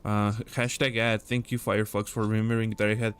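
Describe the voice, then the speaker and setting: A man's voice: lowish voice, flat delivery, a little fast, smooth. A man hosting a casual livestream chat, rambling and joking with many fillers. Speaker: stream host.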